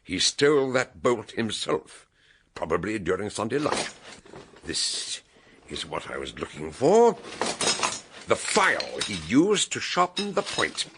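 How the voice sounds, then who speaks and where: An actor playing a shrewd, commanding older British gentleman detective in a radio drama, booming and declamatory. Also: wildly swooping pitch, a little slow, background noise, exaggerated voice. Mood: explaining, excited.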